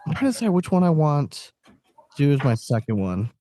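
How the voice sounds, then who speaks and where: A man's voice: on the high side, big pitch swings, a little fast, noisy background. A man chatting casually on a podcast in an informal, slangy, joking way.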